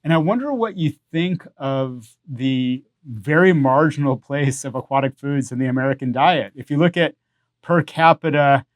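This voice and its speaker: A man's voice: mid-range voice, moderate pace, dry recording. Conversational man hosting a podcast. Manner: conversational, with many fillers. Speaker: podcast host. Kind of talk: podcast interview.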